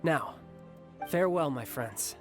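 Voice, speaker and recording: Lightish-voiced genial young warrior. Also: male voice, fairly high pitch, expressive, slow pace, faint music behind. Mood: warm, affable. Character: genial, proud young male warrior, doting big brother. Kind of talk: video game voice acting.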